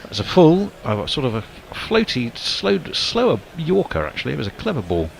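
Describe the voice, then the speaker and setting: A man's voice: medium pitch, huge pitch swings, creaky, slight background noise, poor audio. A man calling a cricket match ball by ball, lifting his voice when a big shot comes. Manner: brisk play-by-play with sudden lifts on big shots. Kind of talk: live sports commentary.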